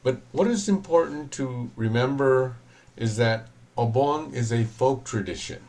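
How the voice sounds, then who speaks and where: A man, a reverend, giving a reflective, measured talk at an online panel, pausing often. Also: mid-range voice, darkish tone. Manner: measured, reflective, with pauses and fillers.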